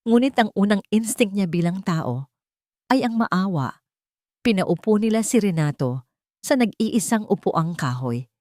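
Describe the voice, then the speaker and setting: A woman's voice: mid-range voice, lively intonation, a little slow, dark tone, no echo, studio quality. A woman reading a scripted dramatic story as its narrator.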